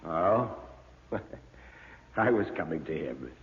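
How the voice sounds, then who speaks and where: A man's voice: low voice, animated, breathy edge, pitched below the performer's own voice, exaggerated voice. A man voicing a chatty museum manager with macabre relish and hesitant ums, in classic radio horror style. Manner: hesitant ums, drawn-out relish, chuckling asides. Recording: muffled narrow-band old radio recording.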